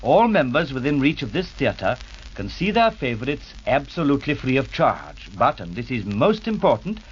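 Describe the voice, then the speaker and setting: A man's voice: mid-range voice, sing-song, moderate pace, slight background noise. A man giving a formal, avuncular scripted address to young radio club members. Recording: muffled old 1930s radio recording.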